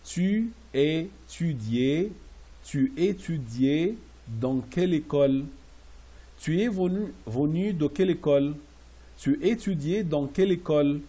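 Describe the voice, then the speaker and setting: A man teaching French classroom phrases, repeating each slowly and translating it into English. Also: fairly high pitch, expressive, mellow, husky. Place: Riyadh.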